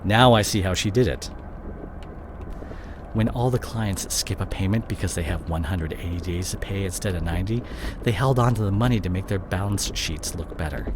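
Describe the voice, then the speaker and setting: A man acting in an audio roleplay as a guilt-ridden disgraced CEO boyfriend, speaking to his partner in a halting, emotional way. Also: low voice, natural voice. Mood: uneasy explaining. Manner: halting, emotional, repeats and breaks off words. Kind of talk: dramatic audio roleplay monologue addressed to the listener. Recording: clear vehicle noise behind the voice. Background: car ambience.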